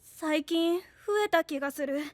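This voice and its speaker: A woman's voice: sits high, steady tone, normal speed, a little mellow, no room sound, close to an everyday voice. A woman playing a sweet, trusting, polite little boy in an anime voice drama, with a simple, eager childlike way of talking. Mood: trusting, admiring.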